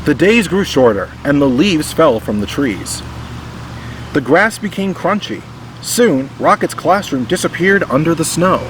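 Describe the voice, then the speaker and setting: A man reading a children's picture book aloud in expressive scripted narration. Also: a little high, measured pace, a little hiss or hum. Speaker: storybook reader.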